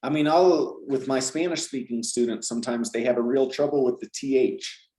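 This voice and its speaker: A man's voice: medium pitch, moderate pace, echoey room, poor audio. A man, a language teacher, explaining at length in a conversational interview, often ending points with "right?". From Georgia, US South. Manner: animated, explains with repeated "right?" tags.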